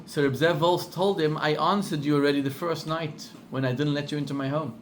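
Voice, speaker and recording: A middle-aged rabbi with a South African accent telling a story in a sermon, acting out the people in it and repeating words for emphasis. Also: male voice, a little high, brisk, slight background noise. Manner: animated storyteller, acts out quoted voices, repeats for emphasis.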